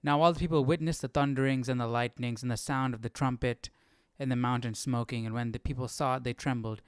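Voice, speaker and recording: A man with a clean, resonant, mid-pitched voice hosts a casual scripture study. He reads a little, then thinks aloud in an informal, questioning manner, with very dry, close sound. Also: fairly even intonation, fairly quick, clean tone, no room sound. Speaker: Bible study livestream host.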